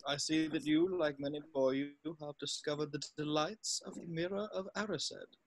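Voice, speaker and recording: A wise old wizard headmaster, acted by a man at a table read. The voice sits a little high for a man. The delivery is measured and formal, like a kindly mentor. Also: fairly even intonation, rough recording. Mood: gentle, solemn. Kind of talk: scripted table read acting.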